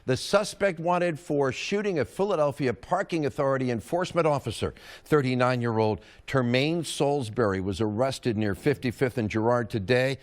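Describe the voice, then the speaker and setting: A man in his seventies, a news anchor with a hoarse voice, speaking in a measured, steady, reflective way. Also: mid-range voice. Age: 70s. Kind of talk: live news anchoring and farewell address.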